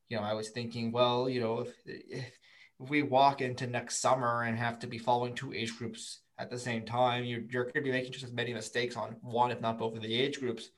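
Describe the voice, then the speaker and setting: A man speaking as a guest on a podcast, thinking aloud with frequent restarts. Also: medium pitch. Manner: thoughtful, lots of fillers and restarts. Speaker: guest, prospect writer. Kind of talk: casual podcast interview.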